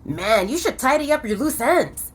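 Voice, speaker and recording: A woman's voice: high voice, big pitch swings, normal speed, slightly rough, soft background music, pitched above the performer's own voice, full character voice. A woman voicing a playful, teasing young man in an audiobook.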